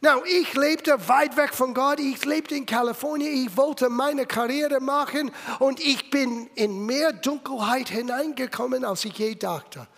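A man in his sixties with an American accent, preaching a sermon in non-native German with English slips, swinging from loud bursts to hushed lines. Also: very high voice, unhurried, gravelly, hardly any pauses. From United States. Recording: long hall reverb.

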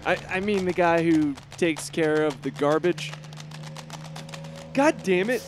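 An actor playing a boastful, cowardly young superhero who talks in a self-serving, blustery and panicky way. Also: male voice, fairly high pitch, takes it slowly, soft background music.

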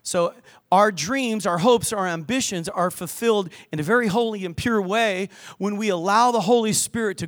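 An older man preaching a lively sermon in church, mixing scripture with jokes and stories. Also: high voice. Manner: lively, joking preacher with asides to the crowd. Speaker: pastor. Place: church hall with balcony.

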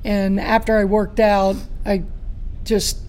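A middle-aged man with a raspy voice, a former touring golfer telling a story in casual podcast chat, rambling with restarts and fillers. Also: high-pitched, monotone, takes it slowly, darkish tone. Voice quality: raspy from throat scar tissue.

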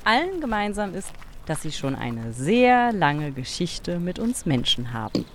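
A woman's voice: fairly low pitch, very animated, measured pace, a little hiss or hum. A woman co-hosting a children's science podcast, playful and explanatory in a scripted audio play. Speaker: podcast co-host.

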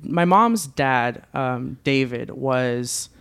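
A man's voice: mid-range voice, lively intonation, very slow, gravelly, a bit bright, clean tone. A man in his twenties from Southern California, a podcast guest telling his family story in an easygoing way, with many ums and frequent laughs. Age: 20s. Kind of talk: casual conversational interview.

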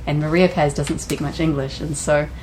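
A woman's voice: deep voice, fairly even intonation, moderate pace, darkish tone, slight background noise, poor audio. A woman co-hosting a travel podcast who talks in a chatty, enthusiastic way. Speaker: podcast co-host. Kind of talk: casual travel chat.

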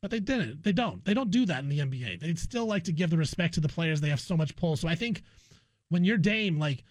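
A man's voice: fairly high pitch, lively intonation, brisk, no room sound. An American man co-hosting a sports talk show, discussing basketball casually and with opinion, often repeating phrases for emphasis.

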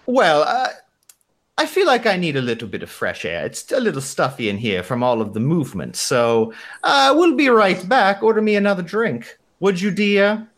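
A man voicing a flirtatious noble countess in a coy falsetto. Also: very animated, moderate pace, a bit bright.

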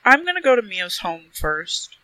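Sharp, bright-voiced detective, played by a man. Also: sits high, big pitch swings, moderate pace, clear, resonant tone, no echo, heavily acted, poor audio. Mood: agreeable, practical. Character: detective Carrie, a woman, practical and questioning. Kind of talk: improvised tabletop role-play.

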